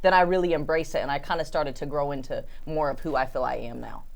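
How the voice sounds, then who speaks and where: A woman in her forties speaks as a guest in a relaxed group conversation, her voice somewhat low. She talks in a reflective, wandering way, thinking aloud as she goes. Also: quick pace, gravelly. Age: 40s. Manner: reflective, rambling with many "like" fillers. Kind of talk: casual group chat.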